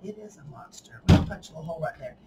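A woman's voice: low voice, animated, very fast. Chatty Southern woman teaching crafts. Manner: chatty, folksy, laughs often. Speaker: craft tutorial host. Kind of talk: casual hands-on craft demonstration. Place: indoor craft table. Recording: slightly distant mic, faint room noise.

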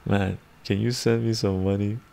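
Man, middle-aged, Canadian accent, low creaky voice, casual ASMR livestream chat, unhurried and rambling, close desk mic. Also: little pitch movement. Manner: unhurried, rambling, often adding "right?". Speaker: livestream host. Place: small book-lined room at home.

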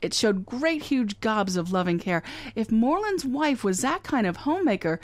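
A woman's voice: fairly high pitch, very animated, no echo. A woman doing a wry lady detective. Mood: suspicious. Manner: wry, brisk, confident. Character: wry, confident female private detective Candy. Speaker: narrator and lead. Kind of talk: first-person radio drama narration.

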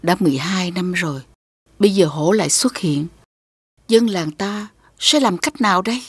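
A woman voicing a patient, encouraging village mother, gentle and instructive, in an animated fairy tale. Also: lowish voice, normal speed, husky, dry recording, clean studio sound. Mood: sorrowful, worried.

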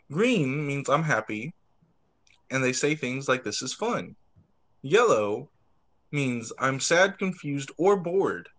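A man teacher reading picture books aloud to young children on a video call, expressive, drawing out words and adding child-friendly asides. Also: a little high. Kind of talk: animated read-aloud story time for young children.

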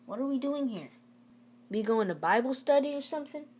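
A woman's voice: high-pitched, expressive, a little fast, darkish tone, slightly rough, voice pushed up. Woman reading as an eager, cocky young boy. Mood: curious.

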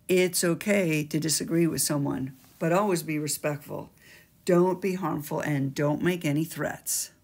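Gravelly, husky older woman teacher. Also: low voice, darkish tone. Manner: emphatic, sing-song teacherly delivery. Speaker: school staff explaining gym rules. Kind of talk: instructional school video. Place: small school office, indoors. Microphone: webcam at desk.

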